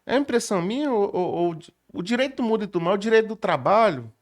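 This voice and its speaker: Higher-voiced Brazilian man hosting, hesitant questioning. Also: animated, fast talker. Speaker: podcast host and interviewer. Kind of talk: conversational interview.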